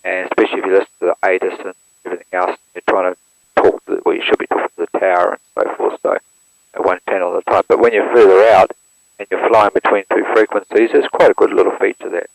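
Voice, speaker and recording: A man, walking through how to operate an aircraft radio step by step in a plain, steady manner, recorded through an intercom headset. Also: low-pitched, fast, creaky, no echo, rough recording. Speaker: instructor demonstrating an aircraft radio. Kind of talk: hands-on equipment walkthrough.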